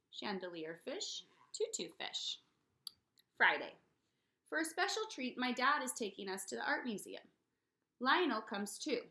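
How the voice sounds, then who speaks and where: A woman reading a children's picture book aloud in an expressive, dramatic way for young listeners. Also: medium pitch. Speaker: read-aloud storyteller.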